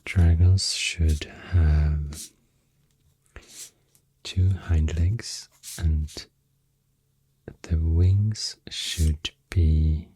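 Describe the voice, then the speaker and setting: Very deep, breathy Swedish-accented ASMR woman. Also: fairly even intonation, no room sound, rough recording. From Sweden. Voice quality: deep, breathy, often whispery. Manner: slow, hushed, halting with long pauses. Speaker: ASMR host. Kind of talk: soft-spoken ASMR rambling. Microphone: close microphone. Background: soft pencil and clicking sounds.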